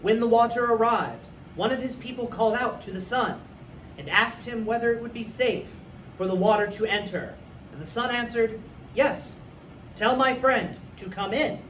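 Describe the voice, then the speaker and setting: A man's voice: very high voice, moderate pace, a bit bright. A man who works as a teacher, reading a story aloud for young students. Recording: echoey room, distant mic, faint noise.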